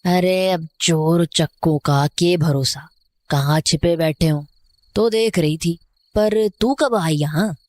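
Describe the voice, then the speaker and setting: An older village caretaker woman played by an actress in a horror audio drama, wary and cautioning. She talks slowly in a rural North Indian Hindi dialect, fairly low in pitch, in a close, dry recording. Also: a little mellow, dry recording. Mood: wary, explaining. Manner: folksy, cautioning.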